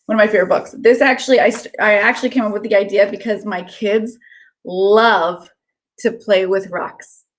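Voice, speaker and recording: A woman children's author chatting casually and reading aloud to children, with an excitable manner. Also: mid-range voice, moderate pace. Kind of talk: casual chat and animated read-aloud for children.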